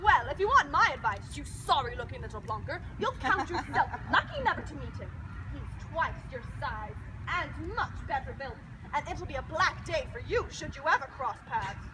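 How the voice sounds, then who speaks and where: A woman playing a cunning, sharp-tongued giant's wife, scolding and mock-sweet, in comic storytelling theatre. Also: sits high, big pitch swings, moderate pace, heavy background noise. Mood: taunting.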